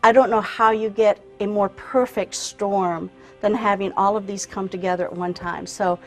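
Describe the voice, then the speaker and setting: Woman interviewer, mid-pitched voice. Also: steady tone, moderate pace, soft background music. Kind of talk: conversational interview.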